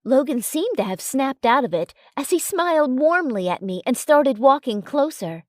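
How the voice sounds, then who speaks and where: A woman narrates a romance story in a high voice. Her pitch moves in lively sweeps as she reads the scripted text, and the recording is dry with almost no room sound. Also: moderate pace, no echo.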